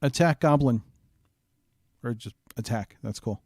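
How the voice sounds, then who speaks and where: A man's voice: medium pitch, unhurried, a little mellow, a little hiss or hum. Man casually playing a voice-controlled game. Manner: thinking aloud. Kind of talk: casual gameplay with short voice commands.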